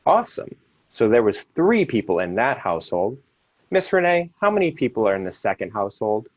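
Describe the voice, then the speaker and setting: A man's voice: fairly low pitch, big pitch swings, brisk, no echo, lo-fi sound. A man leading a children's activity, giving instructions to kids and families in an upbeat, encouraging way.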